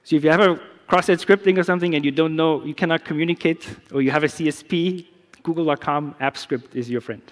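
A middle-aged man whose first language is German, giving a conference talk with a live demo in a relaxed, explanatory way with many restarts. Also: a little high, fast talker, hardly any pauses. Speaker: security researcher. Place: large conference stage. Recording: long reverb of a big hall.

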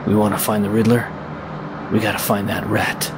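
A man's voice: lowish voice, little pitch movement, normal speed, noisy background, natural voice. A man acting a brooding masked vigilante detective in an audio drama, grim and clipped. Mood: guarded.

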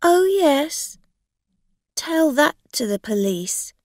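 A woman voicing a scheming, angry woman who plays the victim in an audio story, sharp, insistent and accusing. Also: sits high, very animated, slow, no room sound, clean studio sound, voice pushed up, heavily acted. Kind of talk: acted dialogue in an audio story.

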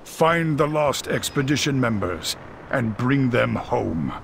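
A man narrating a heroic fantasy game in a solemn, grand, measured scripted style. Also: mid-range voice, animated, creaky, a little hiss or hum.

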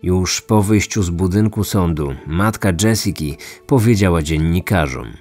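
A man with a very low voice reads scripted true-crime narration. Faint music plays behind him. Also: normal speed, a little mellow.

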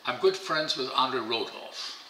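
A man's voice: mid-range voice, lively intonation, measured pace, bright, forceful tone, reverberant, a little hiss or hum, rough recording. An older man whose first language is German, telling stories in a casual interview at a dining table at home, rambling and repeating words. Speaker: interviewee, pigeon fancier. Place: bright home dining room, indoors.

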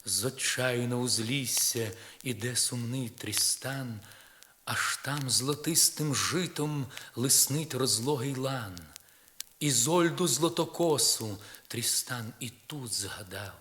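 A man narrating poetry in a solemn, measured and expressive dramatic style, on a crackly vinyl record. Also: mid-range voice.

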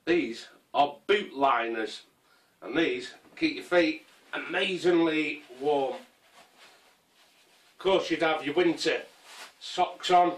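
Slow-talking man showing kit. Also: on the high side, distant mic. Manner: unhurried, rambling, with frequent ums and restarts. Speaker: survival gear vlogger. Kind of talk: casual show-and-tell. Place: small conservatory full of kit. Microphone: camera mic from a few feet away.

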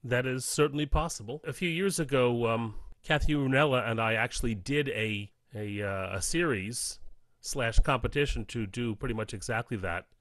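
Male interview guest, medium pitch, very dry sound, measured and conversational. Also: fairly bright tone, no echo. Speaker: voice actor, podcast guest. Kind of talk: casual interview chat.

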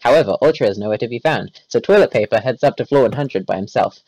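A man's voice: medium pitch, lively intonation, quick pace, darkish tone, no room sound. Man reading aloud in a voice chat. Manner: reads aloud with stumbles, self-corrections and laughs. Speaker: reader in a group voice chat.

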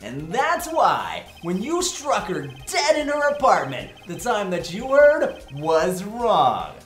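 A melodramatic young defense attorney voiced by a man for a comedic game fandub. He is slangy and cocky, with very animated pitch, in a roomy echo over music. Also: slow pace, exaggerated voice. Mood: confident, dramatic. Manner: wisecracking, dramatic, slangy.

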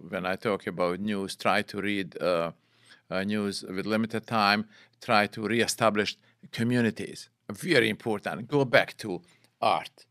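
A man in his sixties with a Bosnian accent speaks in a low, gravelly voice. He answers interview questions in earnest, halting, non-native phrases. The sound is close and dry, as on a studio microphone. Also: fairly bright tone, no echo. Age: 60s. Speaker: interview guest, psychiatrist. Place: small wood-panelled podcast studio. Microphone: close boom-arm studio microphone.

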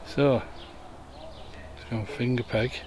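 A man's voice: medium pitch, steady tone, slow, background noise. A man giving casual commentary on a bowls match, explaining each shot in a chatty way, recorded outdoors on a camera mic. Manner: chatty, explaining the play as it happens. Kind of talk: casual amateur sports commentary. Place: outdoors at a bowling green.

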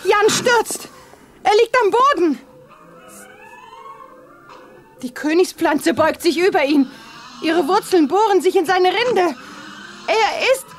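An actress playing an earnest, brave but anxious young heroine in a science-fiction radio play. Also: female voice, very high voice, lively intonation, measured pace, soft background music. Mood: frightened.

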